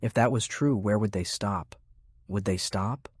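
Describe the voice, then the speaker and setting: Male audiobook narrator, low pitch, deliberate scripted delivery, dry recording. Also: husky, no echo. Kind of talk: scripted narration of a fantasy war novel.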